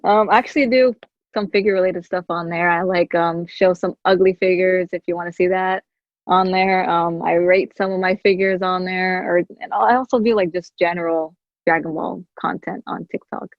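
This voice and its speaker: Giggly low-voiced woman on dull remote audio. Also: fairly even intonation, moderate pace, dry recording. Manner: cheerful, giggly, chatty. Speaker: guest, toy collector and YouTuber. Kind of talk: casual interview chat.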